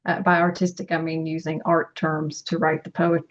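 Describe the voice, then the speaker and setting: A woman chatting with a host over a video call, relaxed and chatty with frequent laughter. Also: low-pitched, moderate pace. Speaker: poet at open reading. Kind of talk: casual chat. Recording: muffled lo-fi video call audio.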